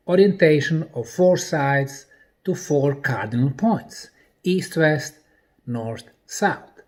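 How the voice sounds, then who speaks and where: Slow, animated male lecturer from Bosnia. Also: on the high side. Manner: deliberate, emphatic, speaking in short broken phrases. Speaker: presenter and researcher. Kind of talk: explanatory lecture presentation.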